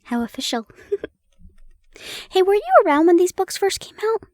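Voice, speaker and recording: A young woman in a playful roleplay, chatting warmly to the listener and reading an old story aloud, giggling and stumbling over old place names. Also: extremely high pitch, sing-song, normal speed, no room sound, studio quality. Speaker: audio roleplay performer.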